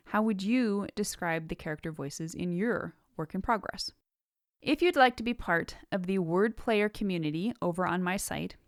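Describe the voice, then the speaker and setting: A woman hosting an instructional podcast, reading a prepared script in an articulate, measured way with emphasis on key words. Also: fairly low pitch, no echo, clean studio sound. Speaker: podcast host and writing teacher.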